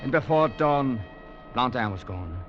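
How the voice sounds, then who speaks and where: A man's voice: medium pitch, expressive, unhurried, close to an everyday voice. An actor playing an earnest young legionnaire who tells his story as a grave, dramatic narrator in a 1940s radio drama. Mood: solemn, dramatic. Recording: dull 1940s radio recording with music.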